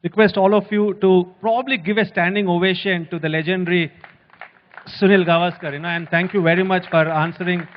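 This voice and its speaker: A man's voice: high-pitched, steady tone, fairly quick, a little hiss or hum. A man interviewing a guest on stage, asking formal, polite questions. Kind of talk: onstage interview. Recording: echoey hall, dull sound.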